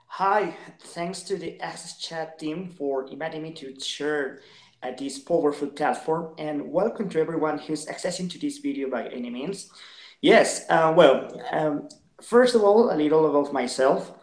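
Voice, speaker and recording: A young man from Ecuador with a Latin American Spanish accent, a native Spanish speaker, talking earnestly as an interview guest, with many fillers and pauses. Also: on the high side, echoey room, low-quality recording. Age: 20s. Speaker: guest, social entrepreneur. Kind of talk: video call interview.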